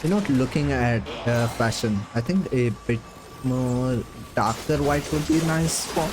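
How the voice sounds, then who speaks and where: A man's voice: medium pitch, unhurried, background noise. A man, not a native English speaker, chatting casually while streaming a game, rambling with lots of fillers and little laughs. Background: game music.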